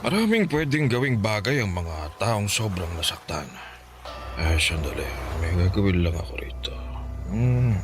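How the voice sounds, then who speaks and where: Over clear background noise comes an older Filipino village healer, low-pitched and grave. He speaks calmly and deliberately, a knowing radio drama elder who hums in thought. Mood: grave, warning. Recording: clearly noisy background ambience.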